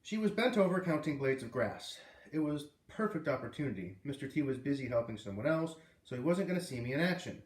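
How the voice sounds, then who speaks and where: Animated man reading aloud, roomy and distant. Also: medium pitch, fairly quick. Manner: animated, puts on character voices. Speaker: read-aloud host. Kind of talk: expressive read-aloud of a children's novel, with casual asides.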